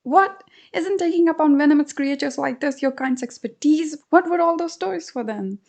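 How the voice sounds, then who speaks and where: A woman voicing a jumpy, bossy washerman who yells when scared. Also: sits high, normal speed, vocal fry, pitched above the performer's own voice. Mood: indignant.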